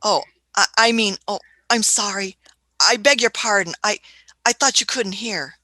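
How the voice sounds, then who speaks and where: A woman's voice: medium pitch, measured pace, sharp tone, no echo. A woman in a radio play acting an eccentric, imperious older time traveller who is fussy, hesitant and repeats her phrases. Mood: startled, fussy.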